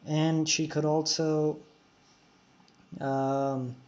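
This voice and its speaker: A male streamer talks casually while playing, answering viewers and filling pauses with "uh" and "um." His voice sits a little high for a man. The recording sounds dull and lo-fi. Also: little pitch movement, a little mellow. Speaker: game streamer.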